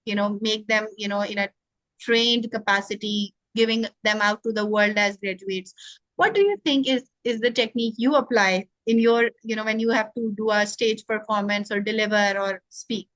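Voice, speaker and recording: A woman's voice: mid-range voice, fairly even intonation, moderate pace, a bit bright, dry recording, rough recording. A woman from Pakistan, a guest NLP trainer speaking earnestly and reflectively in a conversational interview, with many "you know" fillers. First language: not English.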